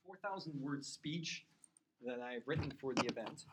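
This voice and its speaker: A man's voice: medium pitch, moderate pace, heavy background noise. A man giving a talk to a community forum, chatty and prone to repeating words as he starts a sentence. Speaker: guest speaker. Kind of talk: public talk with casual chat before.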